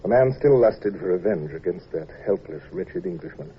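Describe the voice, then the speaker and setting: An actor playing a grave, reflective naval officer narrating in the first person for a radio drama, measured and solemn. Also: male voice, deep voice, expressive. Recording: dull lo-fi radio recording with hiss.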